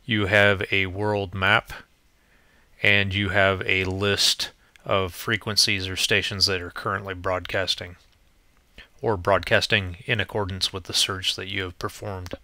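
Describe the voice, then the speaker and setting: A man with a lowish voice and a very bright, forceful edge narrates a software demo. He explains each step plainly and informally. The recording is dry and close, with no room sound. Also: flat delivery, measured pace, dry recording. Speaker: tutorial presenter.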